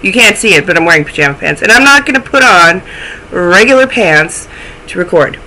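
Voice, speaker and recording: A woman's voice: on the low side, expressive, brisk, sharp tone, a little hiss or hum, poor audio. A young woman hosting a knitting podcast from home, chatting casually to a webcam with a stuffy, snuffly voice and self-deprecating asides. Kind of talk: casual chat to camera. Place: small room at home, indoors.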